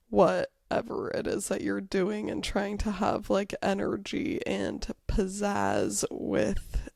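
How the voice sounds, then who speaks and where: A woman from Wyoming co-hosting a podcast in casual chat, croaky from a recent illness, chatty and self-deprecating. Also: mid-range voice, darkish tone, dry recording, studio quality. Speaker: podcast co-host.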